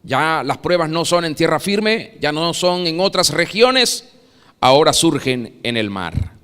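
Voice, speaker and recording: Bright-voiced Venezuelan preacher in a hall. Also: male voice, fairly high pitch, sing-song, unhurried, clear, resonant tone. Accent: Venezuelan Spanish. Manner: dramatic preaching, swells loud then drops soft. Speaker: pastor. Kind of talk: sermon. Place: church sanctuary. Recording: long reverb of a church hall.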